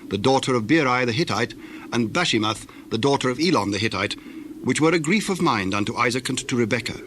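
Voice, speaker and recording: A man narrating scripture from a script in a measured, formal way. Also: mid-range voice, a bit bright. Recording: faintly noisy old recording.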